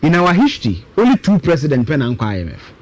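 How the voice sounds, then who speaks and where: A man's voice: a little high, wildly swooping pitch, quick pace. A Ghanaian man in his thirties giving emphatic, argumentative budget commentary on a talk show, with rhetorical questions and repetition. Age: 30s. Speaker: guest political commentator.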